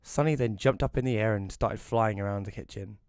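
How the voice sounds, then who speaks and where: A man plays a self-doubting young columnist telling his story in an audio drama. His voice sits a little low, and his delivery is wry and hesitant. The recording is dry, with no room sound. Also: brisk, darkish tone, clear, resonant tone, no room sound, lightly acted. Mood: wry narration. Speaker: narrator and lead character. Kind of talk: first-person audio drama narration and dialogue.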